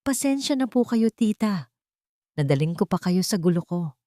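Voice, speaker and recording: A woman's voice: medium pitch, lively intonation, normal speed, mellow, no echo, studio quality, close to an everyday voice. A woman with a Filipino accent voicing a wronged, earnest young woman with an intense manner in an audio story. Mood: apologetic.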